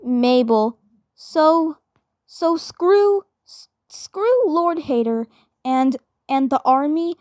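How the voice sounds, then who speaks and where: A woman doing character roleplay for a fan dub, halting and stammering, often repeating her phrases. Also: high-pitched, expressive, darkish tone, no room sound, studio quality.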